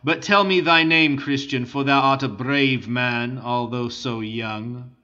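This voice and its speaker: A man reading an audiobook voices a cool, guarded male renegade commander speaking with measured courtesy. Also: medium pitch, fairly even intonation, bright, forceful tone, lo-fi sound. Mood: courteous.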